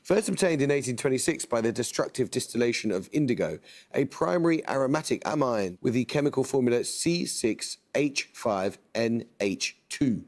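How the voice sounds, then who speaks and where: Guy hosting a quiz show, reads questions slow and precise, tv studio. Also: mid-range voice, expressive. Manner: measured, precise question reading.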